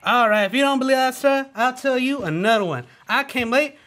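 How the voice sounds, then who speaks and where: A man playing a scheming, wisecracking assistant in a radio play, quick and playful, twisting words. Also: extremely high pitch, a bit bright. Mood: cheeky.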